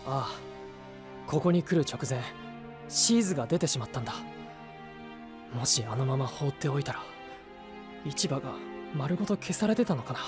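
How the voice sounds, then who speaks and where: Man acting an animated, idealistic young knight. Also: mid-range voice, moderate pace, pauses often, over music, close to an everyday voice. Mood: grave, resolute. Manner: sincere, eager, expressive. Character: earnest, idealistic, hot-blooded young male knight. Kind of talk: Japanese anime audio drama acting.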